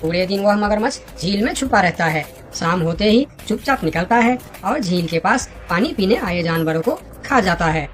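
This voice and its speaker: A woman's voice: mid-range voice, animated, normal speed, hardly any pauses, faint music behind, natural voice. A woman voicing a friendly, earnest monkey in a children's cartoon, speaking expressively. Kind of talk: children's cartoon story dialogue.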